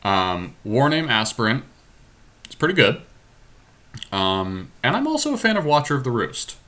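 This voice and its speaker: A man's voice: mid-range voice, big pitch swings, normal speed, pushed and bright. A man giving casual live commentary as he plays a card game draft, thinking aloud in a conversational, analytical way. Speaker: podcast host and player.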